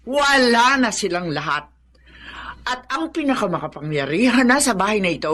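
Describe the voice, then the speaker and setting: A woman's voice: a little high, a bit bright, exaggerated voice. A villainous middle-aged Filipino lady of the house. Her delivery swings widely, theatrical and imperious. She can coat her scheming in false sweetness. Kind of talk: Filipino radio drama acting.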